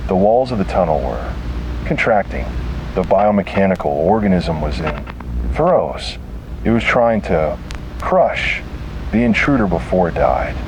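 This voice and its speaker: Low, slow-talking man narrating horror. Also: noisy background. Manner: grave, halting delivery with heavy pauses.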